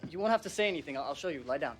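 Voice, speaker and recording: A man's voice: on the high side, lively intonation, very fast, crowd noise, lightly acted. An actor playing a creepy, secretive young stage magician in a TV drama, coaxing his listener. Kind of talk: TV drama dialogue.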